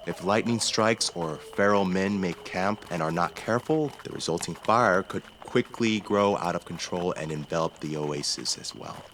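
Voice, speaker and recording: Man acting a low-voiced, courtly nobleman. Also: a bit bright, a little hiss or hum. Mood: courteous, measured. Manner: formal, deliberate, polite. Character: courtly young nobleman bridegroom, measured and calculating. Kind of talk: fantasy audio drama acting.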